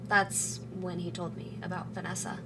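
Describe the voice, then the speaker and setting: An actress playing a considerate, protective woman, a loyal friend speaking gently and carefully in an audio drama. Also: fairly low pitch, level tone, moderate pace, background noise, natural voice.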